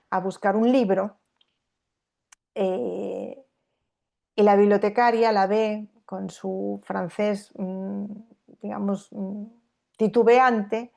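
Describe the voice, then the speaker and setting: A woman university lecturer giving an academic talk, thinking aloud with pauses, fillers and restarts. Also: medium pitch, vocal fry, darkish tone. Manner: thoughtful, hesitant, with many fillers and tag questions. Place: lecture hall at a public talk.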